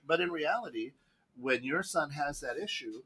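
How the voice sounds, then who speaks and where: Animated man hosting. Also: on the high side. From Poland. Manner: halting, with many restarts and laughs. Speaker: radio show host. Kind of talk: casual chat.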